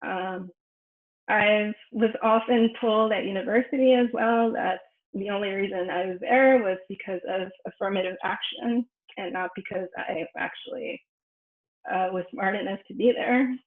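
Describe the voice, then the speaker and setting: A woman researcher whose first language is Spanish, giving a personal academic presentation with many pauses and fillers. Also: mid-range voice. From United States. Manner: thoughtful, frequent fillers and restarts. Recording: echoey room over a phone-like line.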